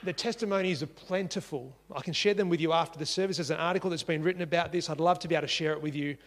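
A man's voice: a little high, racing, in a large hall. A man preaching a sermon in earnest, repeating key phrases for emphasis. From Singapore. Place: church.

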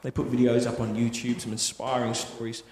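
A man's voice: medium pitch, monotone, brisk, a little mellow, slight background noise. A man preaching a sermon, telling a story in an earnest, conversational way with frequent tag questions. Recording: echoey hall with long reverb.

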